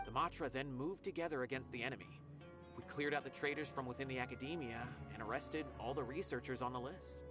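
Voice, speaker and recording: A man's voice: medium pitch, fast, natural voice. Game role: man as dutiful officer, mid-range voice, articulate and courteous, music underneath. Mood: solemn reporting. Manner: polite, articulate, orderly. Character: earnest, dutiful male officer giving a report. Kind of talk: scripted video game acting.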